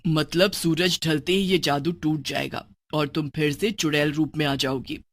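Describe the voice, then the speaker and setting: Lady doing a witch mother in a kids cartoon, lower voice, loving but firm. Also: fairly even intonation, normal speed, dry recording. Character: loving, protective witch mother with magic powers.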